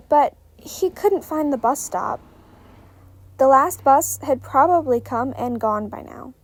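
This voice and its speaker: बहुत ऊँची आवाज़ में कहानी सुनाती महिला. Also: female voice, high-pitched, a little mellow, no room sound. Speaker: fan fiction narrator. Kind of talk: expressive story narration.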